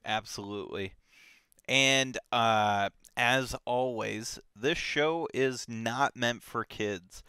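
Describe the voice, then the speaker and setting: A man co-hosting a live book discussion stream, relaxed and blunt, with many fillers. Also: mid-range voice, slow, clear, resonant tone, no room sound, clean studio sound. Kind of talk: casual live-stream chat.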